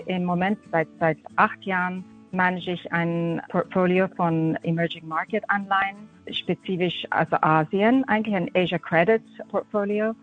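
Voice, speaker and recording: A woman's voice: on the low side, phone-quality sound. A woman with a slight English accent, a guest fund manager answering interview questions chattily, with many restarts and English terms. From Austria and Japan.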